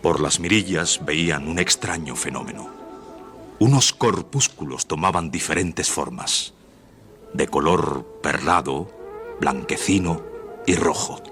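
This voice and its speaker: An actor playing the solemn narrator of a suspense adventure on the radio. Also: male voice, rumbling low, animated, unhurried, fairly bright tone, faint music behind, heavily acted. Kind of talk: scripted radio drama narration.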